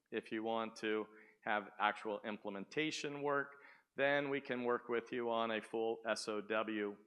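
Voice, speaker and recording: A man giving a sales presentation at a tech conference, booming and often asking the audience questions. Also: fairly bright tone, clean tone. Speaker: conference speaker. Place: conference room. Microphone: speaking without the microphone at first.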